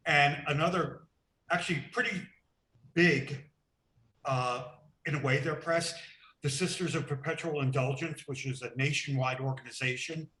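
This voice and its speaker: Man, convention organizer, earnest, bright forceful voice, echoey room. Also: on the high side, fairly even intonation, normal speed. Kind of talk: casual video call chat.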